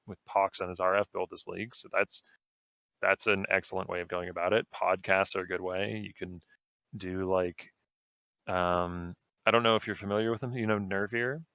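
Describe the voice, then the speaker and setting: A young man talking casually as a podcast guest, relaxed and rambling with lots of fillers. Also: sits low, creaky, clear, resonant tone, no room sound. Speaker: guest streamer.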